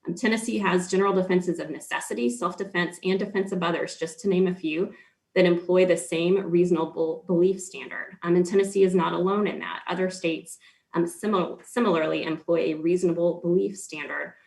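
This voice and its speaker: A man arguing for the state before an appeals court, formal and measured, with frequent ums and small restarts. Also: high voice, fairly even intonation, gravelly. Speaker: state's attorney. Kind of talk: appellate oral argument.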